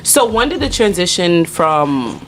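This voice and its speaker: A woman's voice: fairly low pitch, very animated, a little hiss or hum. A woman hosting a podcast in a casual, chatty way, asking questions and backing the guest with "mm-hmm" and "right". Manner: chatty, quick back-channel "mm-hmm" and "right". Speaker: podcast host. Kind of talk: casual interview chat.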